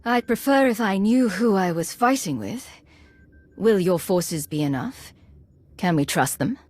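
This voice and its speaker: A woman playing a decisive, wary military commander in a video game, measured and authoritative. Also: medium pitch, animated, darkish tone, soft background music. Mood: resolute, wary. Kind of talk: video game cutscene acting.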